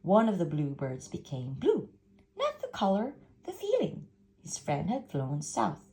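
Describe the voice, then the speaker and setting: A woman's voice: on the low side, huge pitch swings, measured pace, warm tone, full character voice. A woman voicing a sweet, chattering young girl from a storybook, dreamily telling tales. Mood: dreamy. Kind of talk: storybook character voice.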